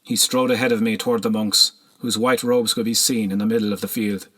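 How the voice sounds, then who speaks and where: A man narrating a historical novel as an audiobook in a measured, solemn storytelling manner. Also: on the low side, little pitch movement, a bit bright.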